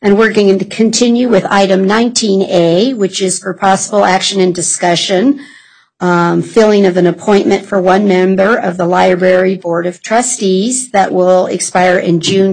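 A woman's voice: mid-range voice, steady tone, measured pace, a little mellow, rough recording. A woman chairing a public board meeting, polite and procedural as she calls items and asks questions.

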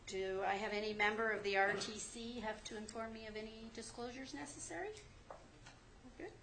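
A woman's voice: a little high, fairly even intonation, moderate pace, noisy background. A woman chairing a transportation commission meeting, formal and procedural. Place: public meeting chamber.

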